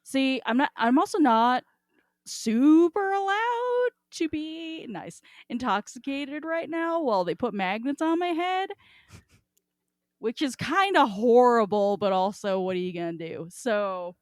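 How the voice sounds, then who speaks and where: A woman's voice: high voice, expressive, measured pace, no echo, studio quality. A woman hosting a casual podcast chat, talking in an irreverent, informal, sweary way. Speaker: podcast host.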